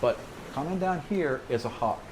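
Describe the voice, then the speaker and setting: A man's voice: a little high, animated, normal speed, noisy background. A man working as game master, improvising tabletop game narration in an informal way with sound words and asides.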